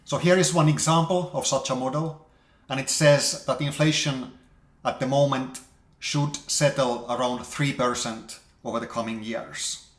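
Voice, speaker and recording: A man giving a measured, explanatory investment presentation, walking through charts as an investor. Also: mid-range voice, fairly even intonation. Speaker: investor presenting market outlook. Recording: roomy, echoey sound.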